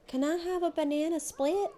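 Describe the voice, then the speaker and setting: A woman's voice: very high voice, fairly even intonation, normal speed, dark tone, pitched above the performer's own voice. A woman voicing an eager, curious little girl in a storybook. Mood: pleading. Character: eager, curious little girl narrator Connie, questioning and promising. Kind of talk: storybook character dialogue.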